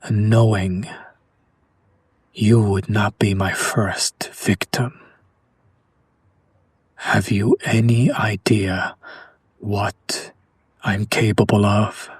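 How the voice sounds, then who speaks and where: Man acting a slow, brooding, romantic vampire nobleman. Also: lowish voice, flat delivery, slightly rough, no echo, full character voice. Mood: menacing. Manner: formal, theatrical, slow and hushed, dramatic pauses. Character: tormented noble male vampire, centuries old, romantic and brooding. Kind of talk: intimate audio roleplay monologue addressed to the listener.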